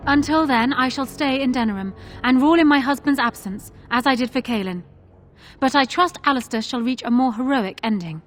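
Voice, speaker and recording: High-voiced proud, commanding queen played by a woman. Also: a little fast, faint music behind, natural voice. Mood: regal, declaring. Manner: regal, assertive, formal. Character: proud commanding fantasy queen, shrewd and self-assured. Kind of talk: video game voice acting.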